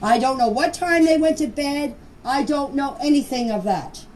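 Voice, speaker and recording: An older woman with a high voice gives an informal, plain-spoken account of events. She stresses her points and repeats them. It is a voice message picked up at some distance, with faint noise in the recording. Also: normal speed, darkish tone. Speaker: grandmother recounting events. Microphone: voice clips recorded for a group chat.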